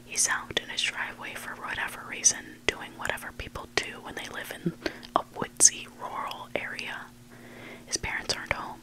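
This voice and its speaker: A person reading stories for ASMR in a breathy, unvoiced whisper, hushed and deliberate, in short phrases. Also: low-quality recording. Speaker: ASMR reader of online stories.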